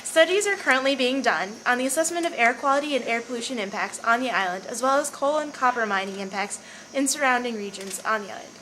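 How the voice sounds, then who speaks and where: A woman narrates from a script in an informational style. Her voice sits a little high and has a bright, forceful edge. Noise is audible in the amateur recording. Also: quick pace. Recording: noisy amateur recording with clear background noise.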